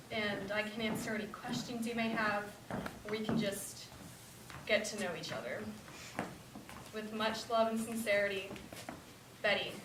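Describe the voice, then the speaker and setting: A woman's voice: medium pitch, close to an everyday voice. A woman playing a regretful middle-aged birth mother in an earnest, emotional stage monologue. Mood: hopeful, tender. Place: indoor stage, mic far off. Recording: roomy, distant stage recording with hiss.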